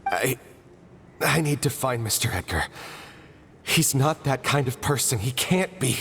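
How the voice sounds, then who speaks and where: A man's voice: mid-range voice, lively intonation, background noise. A man voicing a loyal, anxious young man in a game who speaks hesitantly, stumbling over his words. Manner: hesitant, halting, pleading. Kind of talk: voiced game dialogue.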